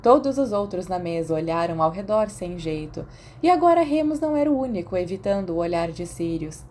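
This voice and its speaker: A woman's voice: lowish voice, lively intonation, fairly quick, darkish tone, slight background noise. A woman with a Brazilian accent reading scripted fiction as an audiobook narrator.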